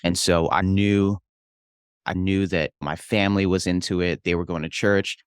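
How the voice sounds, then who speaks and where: Low-voiced man telling his story. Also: steady tone, moderate pace, clear, resonant tone, no echo, studio quality. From Hawaii. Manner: earnest, reflective, frequent "like" and "man". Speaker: guest, real estate investor and pastor. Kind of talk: casual interview storytelling.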